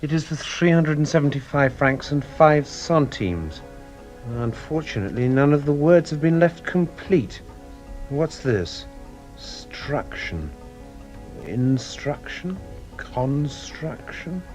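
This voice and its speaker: An actor playing an earnest, protective gentleman in a radio drama, speaking with care. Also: fairly high pitch, expressive, slow, faint music behind. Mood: puzzling, careful. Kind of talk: British radio drama acting.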